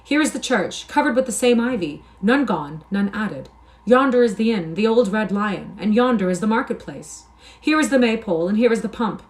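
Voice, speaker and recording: A woman's voice: mid-range voice, lively intonation, moderate pace, pitched above the performer's own voice, natural voice. A woman reading the part of an eager young soldier who has just come home, speaking in impassioned, old-fashioned English. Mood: joyful. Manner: impassioned, archaic speech.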